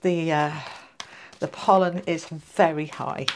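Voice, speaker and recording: A woman's voice: sits low, fairly even intonation, takes it slowly, noisy background. A woman doing a casual tarot reading to camera. She sounds congested from allergies and chats with her viewers in a friendly, reassuring way. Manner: chatty, reassuring, addresses viewers as guys. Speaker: tarot reader.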